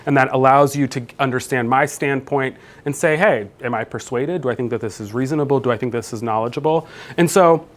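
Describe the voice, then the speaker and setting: A man in his thirties gives a keynote talk in a conversational, unhurried way, full of fillers and restarts. His voice sits at an ordinary middle pitch. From New Jersey, US. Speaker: keynote speaker, professor. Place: conference room.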